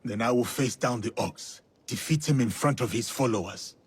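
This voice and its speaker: An actor playing a stern, principled samurai warrior in a video game, speaking in a measured, firm, husky voice. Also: male voice, medium pitch. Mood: resolute, calm. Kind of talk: dramatic video game acting.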